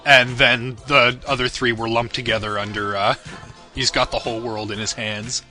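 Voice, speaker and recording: Male creator for off-the-cuff commentary track: very bright, sharp voice, rambling and self-deprecating, music underneath. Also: mid-range voice, flat delivery, normal speed. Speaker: creator of an anime parody series giving commentary. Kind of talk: casual, unscripted director's commentary. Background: music and audio of the video being discussed.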